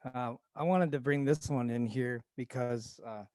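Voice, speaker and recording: Mellow-voiced man chatting about old records. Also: medium pitch, a little slow, clean tone, no echo. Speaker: guest record collector. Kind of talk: casual talk about old blues and jazz records.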